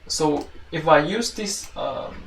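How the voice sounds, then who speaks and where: A man with a Chinese accent giving an informal tutorial as a teaching assistant, halting and often repeating and rephrasing himself. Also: a little high, gravelly, a bit bright. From China. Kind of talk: informal spoken tutorial walkthrough. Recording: roomy, distant mic, faint noise and clicks.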